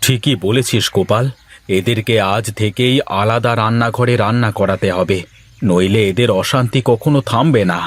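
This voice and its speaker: A man's voice: fairly low pitch, normal speed, close to an everyday voice. A man playing a weary village husband and son in a cartoon, with an earnest, plaintive way of talking. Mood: exasperated. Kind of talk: Bengali cartoon dialogue acting.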